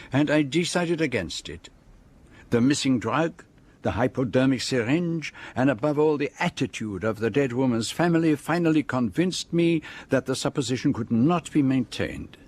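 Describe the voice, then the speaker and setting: An actor playing a self-assured detective in a radio drama, speaking in a deliberate, formal and precise way as he lays out a case. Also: medium pitch, a little mellow, no echo, natural voice. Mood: measured, authoritative. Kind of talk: radio drama acting, monologue.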